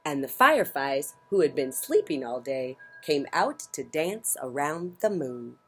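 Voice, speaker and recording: Expressive, unhurried woman reading a picture book. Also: sits low, slightly rough. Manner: theatrical, emphatic, with dynamic swells and hushes. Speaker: children's story time host. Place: outdoors on a porch.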